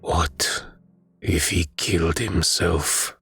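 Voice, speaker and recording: A man's voice: deep voice, steady tone, drawn-out words, fairly bright tone, slightly rough, dry recording, faint music behind, full character voice. A man voicing a morose, broken dead soldier in an audiobook, with a heavy, mumbling delivery. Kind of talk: dramatic audiobook character voice.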